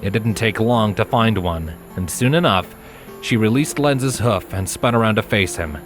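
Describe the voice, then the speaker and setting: Low-voiced man narrating a story. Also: animated, normal speed. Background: music.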